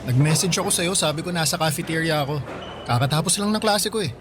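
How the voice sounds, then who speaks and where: Highish-voiced young college man played by an actor. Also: expressive, fast, background noise, close to an everyday voice. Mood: caring, casual. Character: young male college student, caring, easygoing boyfriend. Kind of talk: radio drama acting.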